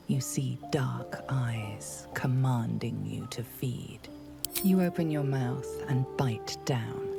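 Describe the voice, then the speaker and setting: An actress playing a grave, ominous storyteller who narrates a dark fantasy game in a solemn, deliberate, dramatic way. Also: female voice, rumbling low, vocal fry, full character voice.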